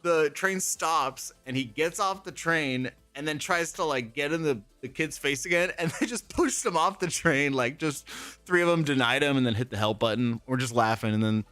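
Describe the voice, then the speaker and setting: A man telling lively anecdotes in a casual interview chat, laughing often and acting out the people in his stories. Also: on the high side, normal speed, no echo. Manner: animated storyteller, laughs often, acts out people he quotes.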